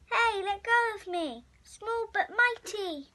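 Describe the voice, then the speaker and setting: Very high-pitched child reading a story. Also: lively intonation, pushed and bright, slight background noise. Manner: acts out the story's child hero, halting over some words. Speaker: story reader. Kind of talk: expressive read-aloud of a picture storybook.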